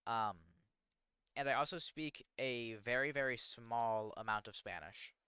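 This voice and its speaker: Halting teenage American guy streaming. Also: mid-range voice, sharp tone, smooth, no room sound, studio quality. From United States. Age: teens. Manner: halting and rambling, self-deprecating, many fillers. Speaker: livestreamer. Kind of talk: casual livestream chat answering chat questions.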